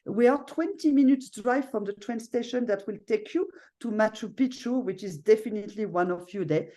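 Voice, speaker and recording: Woman, middle-aged, French accent, mellow tone, chatty, video call. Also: fairly high pitch, moderate pace. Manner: chatty, frequent fillers and tag questions. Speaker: hotel owner presenting. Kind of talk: casual video call presentation.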